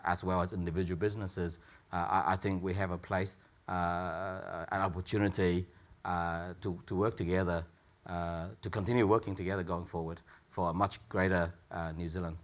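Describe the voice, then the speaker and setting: A man speaking as a tech entrepreneur on a panel, talking in a halting way, with repeated words and many fillers. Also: low voice, fairly bright tone. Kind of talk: panel discussion remarks.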